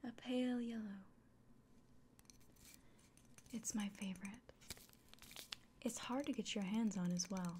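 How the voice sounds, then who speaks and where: A woman playing a kindly, fussing innkeeper in a fantasy ASMR roleplay, whispering gently and murmuring to the listener. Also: a little high, a little hiss or hum. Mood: warm, hospitable.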